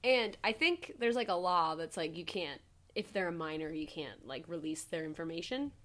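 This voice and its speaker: A young woman with a high voice, co-hosting a podcast and telling a true crime case in a loose, casual way, full of "like" and easy swearing. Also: moderate pace, a bit bright. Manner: chatty, heavy with "like", swears casually. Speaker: podcast co-host.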